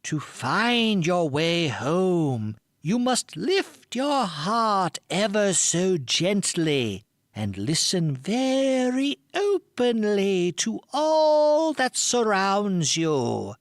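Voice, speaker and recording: A wise old woman of the forest, acted by a male reader, offering gentle, drawn-out counsel. The delivery is very slow, with pitch swooping up and down in storybook fashion. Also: big pitch swings, dry recording, studio quality, exaggerated voice. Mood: soothing, mystical. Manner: soothing, measured, drawn-out counsel. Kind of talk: audiobook character voice.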